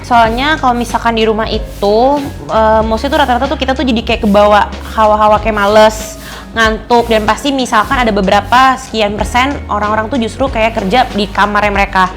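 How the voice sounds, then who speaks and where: A woman in her twenties states her view bluntly in casual, colloquial speech. Her voice sits a little high. Faint music plays in the background. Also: fairly even intonation, fairly quick. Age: 20s. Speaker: interviewee. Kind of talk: casual opinion interview.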